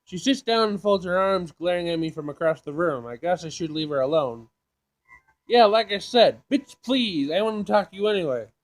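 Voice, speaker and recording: A man doing casual playthrough commentary, reading game text aloud, jokey and thinking out loud as he repeats himself. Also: sits high, lively intonation, moderate pace. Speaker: gaming video host.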